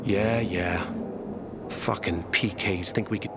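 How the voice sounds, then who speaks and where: A territorial guard in a survival game. He has a low male voice and a gruff, dismissive manner, with clear outdoor noise around him. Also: fairly even intonation, slow. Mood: surly, dismissive. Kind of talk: video game dialogue acting. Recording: noisy outdoor game ambience.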